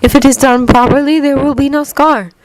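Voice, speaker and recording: A regal goblin wise woman of a fantasy story, as a narrator puts her on. Her voice floats high and soft. She speaks slowly and gravely, with serene authority. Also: steady tone, dry recording, low-quality recording. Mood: calm, solemn. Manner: soft, slow, murmuring. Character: regal female goblin seer, serene and solemn.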